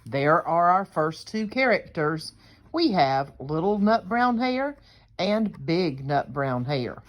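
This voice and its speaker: A woman's voice: fairly low pitch, very animated, takes it slowly, highs cut off, a little hiss or hum. A woman teacher reading a picture book aloud to young children, explaining things in simple terms.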